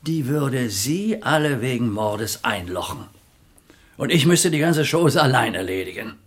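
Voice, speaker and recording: A man's voice: mid-range voice, animated, a little slow, close to an everyday voice. An actor playing a shrewd, commanding older businessman in a German radio play, with a blunt and emphatic way of talking. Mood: resolute.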